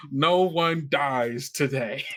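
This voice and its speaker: A man's voice: on the high side, very slow. A man casually hosting a tabletop game podcast, talking in a loose, rambling way with small stumbles. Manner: rambling, stammering, often chuckling. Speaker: host and game master of an actual-play podcast.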